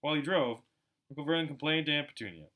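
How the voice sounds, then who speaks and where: A man narrating a storybook aloud in an expressive, dramatic way, as an amateur audiobook reader. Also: fairly high pitch, fast talker. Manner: animated, dramatic.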